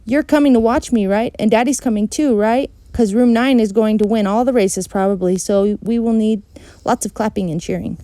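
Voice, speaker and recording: A woman voicing an excitable, bossy little kindergarten girl in a storybook, talking in blunt, emphatic childish phrases. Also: fairly high pitch, normal speed, no room sound, pitched above the performer's own voice, close to an everyday voice. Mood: eager insistent.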